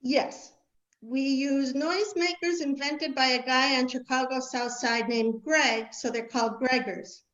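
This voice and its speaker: A woman's voice: sits high, fairly even intonation, a little slow, fairly bright tone. A woman playing a proud, party-loving biblical queen in a playful scripted comic monologue for amateur reader's theater. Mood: explanatory. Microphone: video call. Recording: dull, roomy video-call audio.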